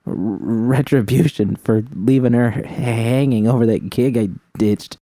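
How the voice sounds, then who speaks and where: A man's voice: mid-range voice, measured pace, dark tone, no room sound, natural voice. A man voicing a panicked young female mercenary who stammers, swears and pleads through sobs in an audiobook story. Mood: shaken, sobbing. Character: young street mercenary woman, sobbing, panicked, desperate, foul-mouthed.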